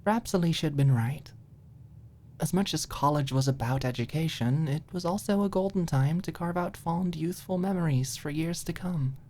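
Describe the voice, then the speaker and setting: Low-pitched woman narrating fiction, soft and mellow. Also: moderate pace. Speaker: audiobook narrator.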